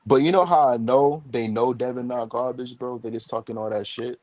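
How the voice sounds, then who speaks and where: A man's voice: medium pitch, fairly even intonation, brisk, darkish tone, no echo. A man in his late twenties co-hosting a casual boxing chat, agreeing often and laying out his points step by step. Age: 20s. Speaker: podcast co-host.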